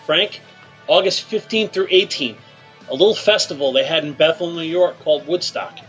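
A male radio show host leading deadpan teasing banter in a halting, filler-filled setup. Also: fairly high pitch, dull audio, faint music behind.

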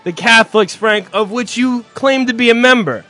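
A male radio cohost joking crudely, with loud profane outbursts and laughter. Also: very high voice, moderate pace, soft background music. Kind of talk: crude, excitable banter.

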